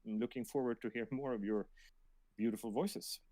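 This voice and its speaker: Man, medium pitch, dry close sound, hesitant, repeats words, casual livestream co-host. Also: fairly even intonation, brisk, dark tone, no room sound, poor audio. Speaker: livestream co-host reading backer questions.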